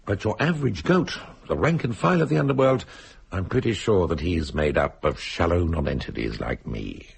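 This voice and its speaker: A low, raspy self-mocking cynic from a radio play. He muses languidly and articulately, with wry humour and a wide, lively swing in pitch. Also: normal speed, exaggerated voice. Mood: wry musing. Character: jaded, self-mocking man, wryly cynical about himself. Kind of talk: dramatic radio play acting.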